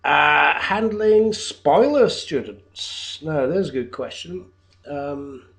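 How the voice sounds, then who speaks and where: A man in his fifties, a teacher talking to camera from his flat in a hesitant video job application, with a loud voice and frequent ums and uhs. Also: fairly high pitch. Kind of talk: unscripted video application monologue. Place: his own flat, indoors.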